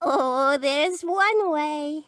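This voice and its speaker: A woman's voice: sits high, drawn-out words, fairly bright tone, dry recording, studio quality, full character voice. A woman playing a sweet, naive, adoring young secretary in 1940s radio style, gushing and wide-eyed. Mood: coy. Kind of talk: 1940s radio comedy-drama acting.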